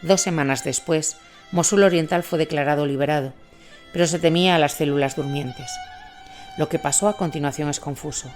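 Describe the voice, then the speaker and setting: A man's voice: fairly high pitch, brisk. A man narrating scripted war reportage in a read, journalistic style. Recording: music bed under voice.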